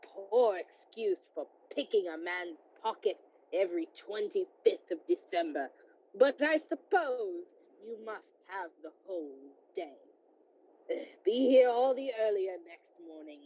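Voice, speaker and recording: A woman playing a grumpy, skeptical old male miser in a radio play, with a halting, curmudgeonly delivery. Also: medium pitch, animated, highs cut off. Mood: grudging, irritable. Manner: slow, halting, curmudgeonly, with hesitations.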